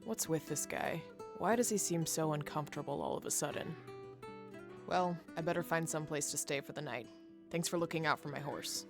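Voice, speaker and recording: Lady playing guarded bounty hunter in a cowboy game, dry n curt, gravelly creak, faint guitar. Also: low voice, moderate pace. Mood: curious, softer. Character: gruff, guarded bounty hunter, new in town. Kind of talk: visual novel character acting. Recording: guitar music behind the voice.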